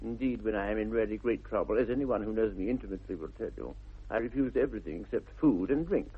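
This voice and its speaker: An actor playing a flippant, idle young English gentleman with an upper-class accent and airy, mock-earnest wit, in 1960s radio comedy style. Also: lowish voice, fast talker, husky. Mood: blithely self-satisfied. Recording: muffled narrow-band vintage radio recording.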